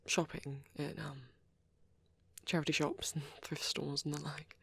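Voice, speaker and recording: A woman voicing a shy, awkward young vampire boyfriend in an ASMR roleplay, hesitant and rambling, with a soft, breathy delivery. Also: sits low, little pitch movement, no room sound, heavily acted. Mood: shyly pleased. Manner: hesitant, halting, rambling, nervous.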